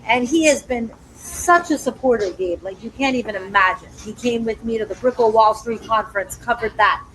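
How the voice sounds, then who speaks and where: A woman's voice: on the high side, moderate pace, a bit bright, a little hiss or hum, poor audio. Upbeat woman hosting a live talk show. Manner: upbeat, chatty, addresses audience directly.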